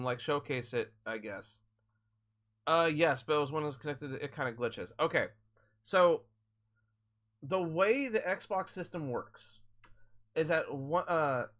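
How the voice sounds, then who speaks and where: Laid-back man with a higher voice. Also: a bit bright. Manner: rambling explanations full of restarts. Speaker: gaming live streamer. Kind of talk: casual live-stream chat answering viewers.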